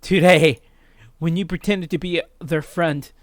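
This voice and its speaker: A man's voice: a little high, steady tone, unhurried, no room sound, studio quality, natural voice. A man voicing a bitter, desperate, dying young man in a visual novel, with strained and theatrical delivery. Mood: desperate, accusing. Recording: loud music under voice.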